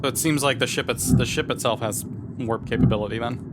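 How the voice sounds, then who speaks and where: Male tabletop player, medium pitch, casual table talk, very noisy recording. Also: quick pace. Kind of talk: casual game chat. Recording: noisy, loud background hum.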